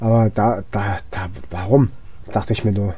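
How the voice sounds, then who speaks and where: A hoarse man with a cold giving casual, rambling gameplay commentary, with lots of fillers and restarts. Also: lowish voice, steady tone, normal speed, no room sound, lo-fi sound. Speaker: let's play gamer.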